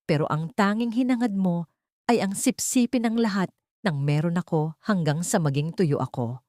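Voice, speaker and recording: A woman's voice: on the low side, moderate pace, darkish tone, no echo, clean studio sound, close to an everyday voice. A woman voicing a wealthy betrayed wife in an audio drama, icy, scornful and vengeful. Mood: bitter, scornful. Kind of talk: dramatic audio story dialogue.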